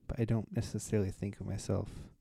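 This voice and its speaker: Very dark-voiced hesitant Midwestern man. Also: on the low side, little pitch movement, fast, vocal fry, slightly rough, no echo. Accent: Midwestern American. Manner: hesitant, unsure. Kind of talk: casual chat.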